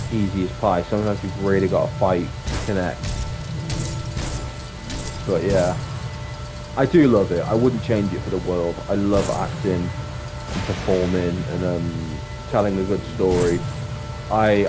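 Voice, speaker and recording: A man with a deep voice chatting casually on a livestream, rambling reflectively and often restating his phrases. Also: low-quality recording. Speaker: streamer and actor. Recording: music playing behind the voice. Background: clear background music.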